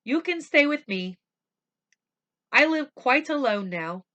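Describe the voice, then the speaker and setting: Storybook doctor: hearty, kindly old man played by a woman, bright and forceful, emphatic. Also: expressive, slow, muffled recording, full character voice. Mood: kind, cheerful. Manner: emphatic, booming declarations.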